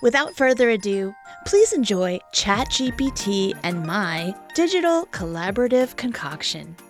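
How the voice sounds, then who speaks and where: A woman's voice: mid-range voice, very animated, unhurried, soft background music. A woman hosting a podcast, reading scripted introductions with a playful, theatrical turn of phrase. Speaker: podcast host.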